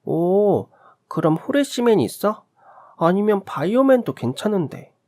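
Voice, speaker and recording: A man's voice: high-pitched, very animated, measured pace, darkish tone, voice pushed up. A man voicing a cheerful, polite young boy who has just moved into the neighborhood and is eager to make a friend. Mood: friendly.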